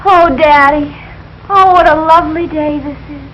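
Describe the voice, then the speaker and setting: Casting: gushing, breathless teen daughter, very high voice, old radio comedy with muffled sound. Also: female voice, fairly even intonation, normal speed, fairly bright tone, slight background noise. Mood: dreamy, lofty. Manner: breathless, earnest, affectedly proper. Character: gushing, starry-eyed sixteen-year-old daughter. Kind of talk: 1940s radio sitcom acting.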